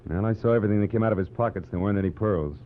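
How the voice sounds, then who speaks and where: An American actor playing a cynical, tough private detective in a 1940s radio drama, with a clipped, world-weary, wisecracking delivery. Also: male voice, on the low side, racing, natural voice. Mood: sardonic. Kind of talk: 1940s radio detective drama acting with first-person narration. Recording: dull, noisy, humming 1940s radio recording.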